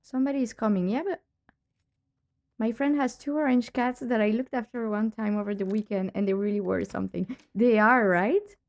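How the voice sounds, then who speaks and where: A woman's voice: fairly high pitch, normal speed. A woman with a cold streaming a detective game, chatting casually and laughing often as she plays. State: has a cold, voice lowered. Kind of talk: casual live-stream chat.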